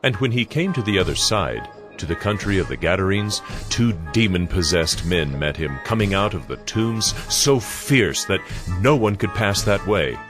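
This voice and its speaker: A male narrator reads a Bible story from a script. His voice is very deep, and music plays clearly behind him. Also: sing-song, normal speed, few pauses.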